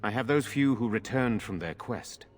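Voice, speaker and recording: An actor playing a courteous, earnest medieval nobleman in a fantasy video game, with formal, gallant manners and a husky voice. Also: mid-range voice, expressive, moderate pace, big-room sound, faint music behind, close to an everyday voice. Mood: grave, pressed.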